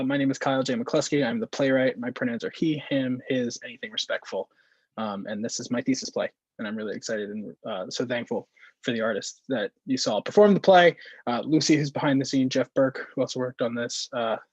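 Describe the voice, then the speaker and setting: A man's voice: medium pitch, fairly even intonation, a little fast, slightly rough, no echo. A young man speaking casually and effusively on a video call, with dull, lo-fi sound. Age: young adult. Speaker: student playwright. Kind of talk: casual thanks and introduction.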